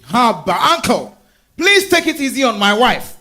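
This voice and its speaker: An actor with a Ghanaian accent playing a firm, protective young husband in a staged dramatic reading. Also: male voice, very high voice, big pitch swings, normal speed, pushed and bright, exaggerated voice. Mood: protective, pleading.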